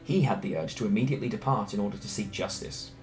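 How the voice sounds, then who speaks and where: A man reading a scripted story aloud in a theatrical, expressive way. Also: fairly low pitch, quick pace. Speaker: storyteller reading fan narration. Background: background music.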